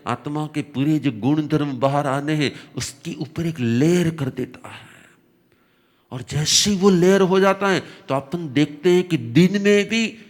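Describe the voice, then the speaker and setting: A man teaching a religious discourse, his voice slightly hoarse, explaining slowly with repetition and emphatic rises. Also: fairly high pitch. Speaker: Jain spiritual teacher.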